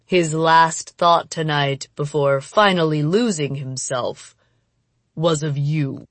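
A woman voicing a cruel, mocking male demon in an audiobook, speaking in a slow, menacing, gloating way. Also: low-pitched, no room sound, voice pushed down. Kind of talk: dramatic audiobook character voice.

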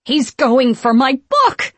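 A woman's voice: mid-range voice, huge pitch swings, measured pace, breathy edge, dry recording, exaggerated voice. A woman voicing a bossy, imperious witch who scolds and commands in archaic phrasing in an audiobook. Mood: shrieking outrage. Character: shrieking, imperious, bossy witch woman, leader of three sisters. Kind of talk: audiobook character voice.

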